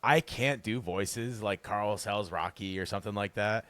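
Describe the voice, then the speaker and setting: A man with a very deep bass voice, chatting casually as a podcast guest, rambling and relaxed with frequent laughs. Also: normal speed, no echo. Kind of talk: casual interview chat.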